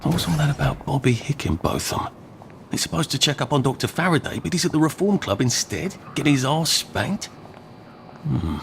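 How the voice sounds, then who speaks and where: A man's voice: fairly high pitch, expressive, moderate pace, creaky, a little hiss or hum. A man with a British accent playing a polite, inquisitive, fretful everyman in a video game, wry and muttering. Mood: puzzled. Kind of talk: video game character acting.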